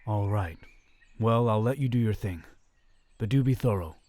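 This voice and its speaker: An actor playing a disciplined, dry-witted young crusader in a medieval fantasy audio drama, speaking in measured, formal phrases. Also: male voice, on the low side, natural voice. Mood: thoughtful. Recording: faint background noise.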